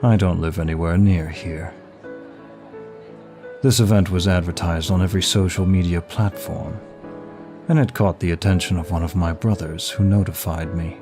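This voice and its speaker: A man playing a suave, seductive demon of lust in an intimate audio roleplay, flattering the listener in a formal, flirtatious manner. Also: deep voice, natural voice. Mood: charming, confiding. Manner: smooth, flirtatious, formal, unhurried. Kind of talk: intimate ASMR-style roleplay, one side of a dialogue. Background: music.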